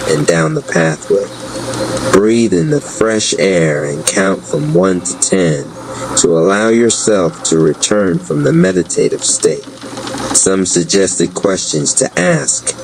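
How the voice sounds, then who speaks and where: A man reading a scripted guided meditation as a narrator, in a measured, flat reading manner. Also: sits low, creaky, background noise.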